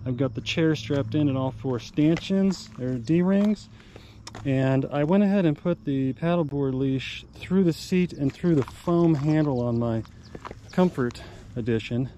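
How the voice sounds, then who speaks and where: A man's voice: on the high side, unhurried. A middle-aged American man casually explaining his fishing gear while out on a lake, recorded on an action camera. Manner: relaxed, chatty, explains gear step by step. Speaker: outdoor vlogger. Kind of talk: casual how-to narration. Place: outdoors on a lake, on a paddle board. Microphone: action camera mounted on the board. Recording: faint wind and water noise.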